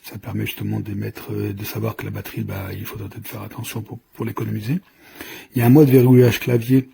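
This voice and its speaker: Male product reviewer, lowish and soft-edged voice, hesitant unscripted explainer, slight background noise. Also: little pitch movement, quick pace, gravelly. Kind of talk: informal hands-on product demonstration.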